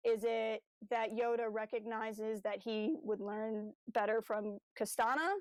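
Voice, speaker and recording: A woman's voice: a little high, unhurried, no echo. A woman hosting a casual fan podcast, talking with geeky enthusiasm and playfully voicing imagined dialogue as she goes. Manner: animated fangirl enthusiasm, acting out imagined dialogue. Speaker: podcast host. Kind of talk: casual chat about a fan audio drama.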